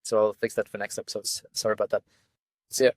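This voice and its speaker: A man's voice: on the low side, flat delivery, fast, darkish tone, no room sound. Casual young man on earbud mic. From Brussels. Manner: casual, filler-heavy, friendly questions. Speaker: podcast host. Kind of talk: casual interview hosting. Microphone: earbud microphone. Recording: dry close earbud mic.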